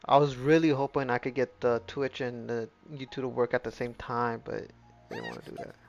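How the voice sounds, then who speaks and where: A man's voice: mid-range voice, level tone, a little fast, vocal fry. A man chatting casually and in a rambling way while live streaming a game. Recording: dull lo-fi mic with game music. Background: faint game music.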